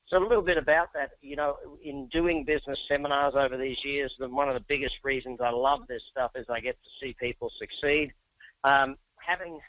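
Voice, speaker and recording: A man in his forties with an Australian accent, giving a business coaching webinar in an energetic, persuasive way and talking straight to his listeners. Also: medium pitch, no room sound, low-quality recording. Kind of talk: webinar presentation. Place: office or home.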